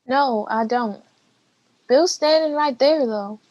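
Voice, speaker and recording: A woman's voice: high-pitched, slow pace, no room sound. A woman with an American accent playing a dry, folksy small-town woman in an amateur play, on lo-fi video call audio. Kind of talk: amateur stage-play acting.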